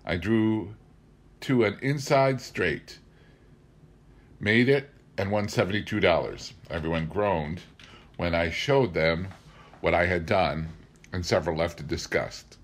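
A middle-aged man narrating a memoir as a scripted reading, with measured, deliberate phrasing. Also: sits low. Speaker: amateur audiobook reader.

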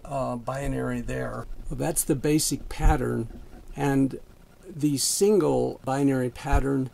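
A man calmly walking through his small basement room, explaining things in a plain, unhurried way with a few fillers. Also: mid-range voice, gravelly. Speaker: hobbyist showing his home music room. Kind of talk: casual walkthrough narration.

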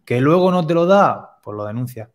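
A young man calmly explaining pricing step by step on a video call, headset mic in a home room. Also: a little high. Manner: plain, step-by-step explanations with quick asides. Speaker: web development teacher, guest expert.

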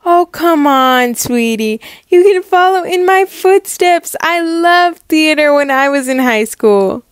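A woman voicing an encouraging, theater-loving mother with a sweetly coaxing, upbeat manner for an animated story. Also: high-pitched, slow pace, no echo, clean studio sound, pitched above the performer's own voice. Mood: coaxing, excited. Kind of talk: animated roleplay story acting.

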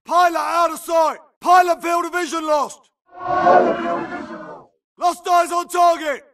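Video game soldier yelling urgent clipped battle callouts, bit off mic. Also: male voice, extremely high pitch, fairly even intonation, slow pace, far from the mic, a crowd in the background, background noise, exaggerated voice. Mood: urgent alarm. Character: gruff, urgent infantry grunt soldier in battle. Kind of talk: shouted combat barks.

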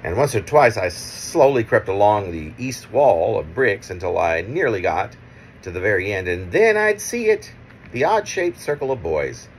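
A middle-aged man reading his own poem aloud in halting, measured pieces, with casual asides. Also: on the low side, big pitch swings, slight background noise. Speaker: poet reading own work. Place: small room at home, indoors. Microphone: phone at arm's length.

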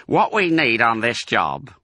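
A man's voice: medium pitch, huge pitch swings, measured pace, bright, forceful tone, no room sound, clean studio sound, voice pushed up, heavily acted. A man voicing a thin, filthy, deaf farmer in a storybook, mean and bossy, barking commands.

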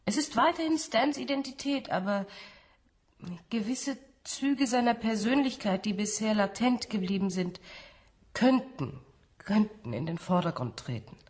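Actress as uneasy female scientist, mid-pitched voice, hesitant, careful, evasive, radio play. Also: lively intonation, a little slow, a little mellow, close to an everyday voice. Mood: careful, explaining. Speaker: university researcher. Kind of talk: German radio drama acting.

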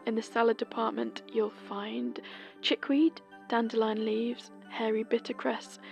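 Breathy British woman narrating an almanac. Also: fairly high pitch, darkish tone. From Britain. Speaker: podcast host. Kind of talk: scripted seasonal almanac narration.

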